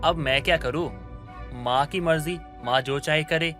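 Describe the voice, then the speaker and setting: A man's voice: fairly high pitch, unhurried, a bit bright. A man voicing an irritated young husband in a moral story cartoon. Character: irritated young husband siding with his mother. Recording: music under the voice.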